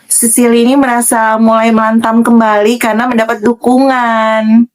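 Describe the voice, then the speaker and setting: A woman's voice: fairly high pitch, normal speed. A woman gossiping animatedly in casual slang on a live stream. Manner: animated, gossipy, laughs while telling.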